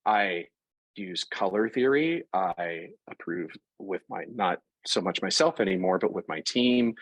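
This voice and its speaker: A man being interviewed about his work in fashion, talking in a lively, conversational way and working through examples with frequent restarts. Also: deep voice, a little slow, dry recording, low-quality recording. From Puerto Rico. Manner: animated, talks through examples with self-corrections. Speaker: interview guest, fashion product executive.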